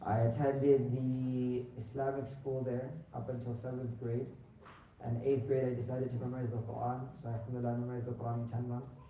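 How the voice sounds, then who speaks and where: A young man born in Pakistan and raised in the United States answers interview questions about himself in a relaxed, rambling way, with many fillers and restarts. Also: medium pitch, brisk. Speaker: imam candidate being interviewed. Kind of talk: casual spoken interview answers. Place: large echoey mosque hall. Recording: very echoey hall, distant mic, faint noise.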